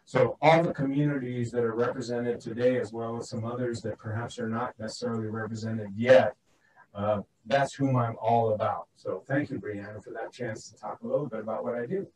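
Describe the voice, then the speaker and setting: Panelist guy, calm and earnest, far from the mic, echoey room, a bit of background hiss. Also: medium pitch, brisk. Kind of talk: panel discussion remarks. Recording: roomy, distant from the mic, faint background noise.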